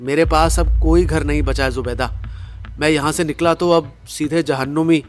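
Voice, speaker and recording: A man's voice: a little high, fairly even intonation, normal speed, soft background music, close to an everyday voice. A man playing a persuasive, devoted young man on the run in an audio drama, earnest and coaxing. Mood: earnest, pleading. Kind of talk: Hindi audio drama acting.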